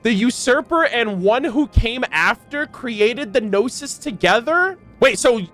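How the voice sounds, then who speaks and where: A man giving casual, slangy live reaction commentary as a game streamer, animated and incredulous as he reads lore aloud. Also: extremely high pitch, unhurried, faint music behind.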